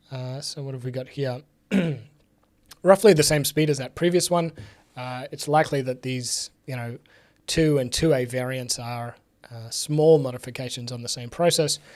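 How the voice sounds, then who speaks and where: A young man with a slightly raspy voice, recovering from illness, hosting a casual live tech stream from a home office. He thinks aloud with many fillers and drops in dry, sarcastic asides. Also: mid-range voice, a little mellow. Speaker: livestream host. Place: home office with bookshelves, indoors.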